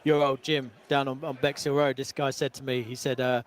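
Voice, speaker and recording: A man's voice: mid-range voice, level tone, brisk. A man chiming into an interview with chatty, chuckling remarks. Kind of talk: casual chat.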